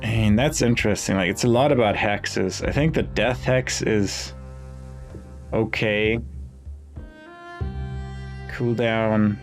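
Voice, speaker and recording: A man's voice: on the low side, slow pace, pauses often. A man doing casual game commentary, thinking aloud in a musing, hesitant way as he reads game text. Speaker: gaming video host. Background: game music.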